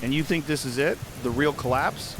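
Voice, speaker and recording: Man acting a male podcast host, mid-pitched, skeptical, measured, conversational, apocalypse audio drama, clear rain noise behind. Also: close to an everyday voice. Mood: wary, curious. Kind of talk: fictional apocalypse podcast interview.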